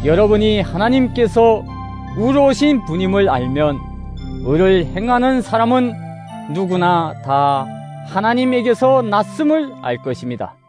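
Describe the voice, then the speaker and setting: Male narrator, high register, highly animated intonation, for solemn dramatic scripture reading over music. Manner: solemn, deliberate, exhortatory. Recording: music under the voice. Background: musical instrument backing.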